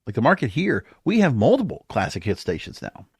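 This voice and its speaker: A man's voice: mid-range voice, wildly swooping pitch, fairly quick, husky, no room sound, studio quality. An American man giving opinionated solo podcast commentary in a rambling, exasperated manner full of rhetorical questions. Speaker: podcast host.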